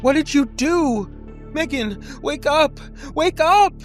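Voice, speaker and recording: A man's voice: very high voice, lively intonation, slow, soft background music, voice pushed up, exaggerated voice. A man voicing a fiercely protective young girl in an audio story, emotional and accusing. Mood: outraged screaming.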